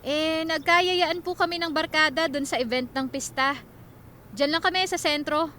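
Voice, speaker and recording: A woman's voice: high-pitched, steady tone, moderate pace, sharp tone, slight background noise. A woman playing an evasive nineteen-year-old daughter in a Filipino radio drama, speaking in an offhand, mildly defensive way.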